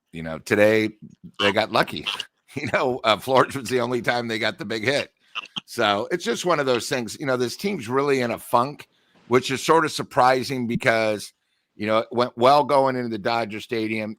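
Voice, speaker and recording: Blunt male co-host, mid-pitched, dry and close. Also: a bit bright, no echo. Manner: blunt, peppers speech with "you know". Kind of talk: casual sports analysis.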